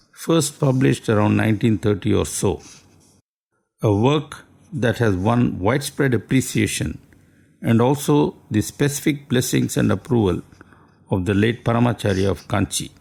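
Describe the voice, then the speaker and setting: A man delivers a scripted radio talk. His voice sits at an ordinary middle pitch and carries a heavy, gravelly fry throughout. He speaks formally and unhurriedly, with careful, courteous phrasing. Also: normal speed, darkish tone. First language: Tamil.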